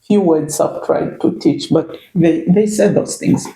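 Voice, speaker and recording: A woman's voice: fairly low pitch, unhurried, dark tone. A middle-aged Zambian woman, a native Bemba speaker with a Zambian accent, chatting reflectively as an interview guest and circling her points with "you know". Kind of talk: casual interview chat. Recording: echoey room.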